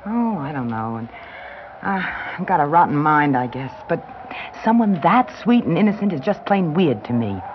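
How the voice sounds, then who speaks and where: Wry, animated newspaperwoman. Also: deep voice, normal speed, slight background noise. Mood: cynical. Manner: pointed, wry, pressing. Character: probing, cynical, persistent female newspaper reporter. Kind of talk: classic radio drama acting. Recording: dull vintage radio recording with music.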